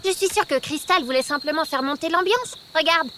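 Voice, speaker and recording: A woman playing a proud, bossy, sociable young girl in a cartoon, self-assured and exclamatory, over loud music. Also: high-pitched, fairly quick, lightly acted. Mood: earnest. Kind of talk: cartoon dubbing.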